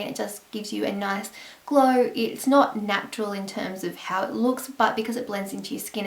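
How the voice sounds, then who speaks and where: A young Australian woman talks through her shopping in a casual, chatty way, her voice croaky and gravelly from a lingering flu. She sounds eager about each product she picks up. The room around her is echoey. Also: fairly high pitch. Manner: chatty, enthusiastic product rundown. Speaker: beauty vlogger. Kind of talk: casual haul vlog.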